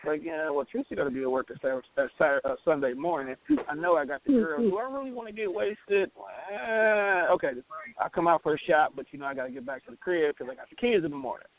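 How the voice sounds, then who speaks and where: A man's voice: a little high, fast. A man chatting casually as a talk show co-host, slangy and emphatic, repeating words to make his point. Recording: tinny phone line with hiss and noise.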